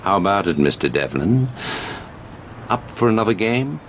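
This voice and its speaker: An actor playing a suave, calculating British spymaster in a video game, speaking in a measured, formal and wry way. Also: male voice, on the low side, very animated, slight background noise. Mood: suave, dry. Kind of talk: video game cutscene acting.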